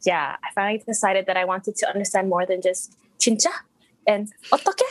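A woman's voice: mid-range voice, fairly even intonation, rapid-fire, no echo. A young woman from Malaysia with an international, American-leaning English accent, chatting as a podcast guest and giggling between points. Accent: international English with an American lean. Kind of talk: casual chat.

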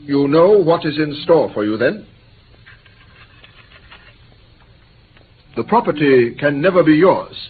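An actor playing an anxious interpreter who recounts an ordeal in earnest, precise, formal phrases in a radio drama. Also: male voice, a little high, animated, moderate pace, close to an everyday voice. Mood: earnest, animated. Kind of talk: radio drama acting, animated storytelling. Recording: tinny old radio recording, background hiss.

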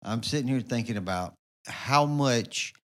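A man's voice: mid-range voice, expressive, measured pace, a bit bright. A man, co-hosting a church podcast in a rambling, casual way full of "you know"s. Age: 40s. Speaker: podcast co-host.

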